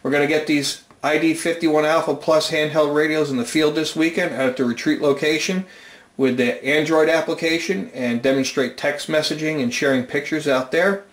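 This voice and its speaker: A man's voice: on the high side, steady tone, normal speed. An American man presenting an informal technical explanation at a whiteboard indoors, methodically going step by step. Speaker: amateur radio channel host, presenter. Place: small indoor room at a whiteboard. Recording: roomy, reverberant indoor sound.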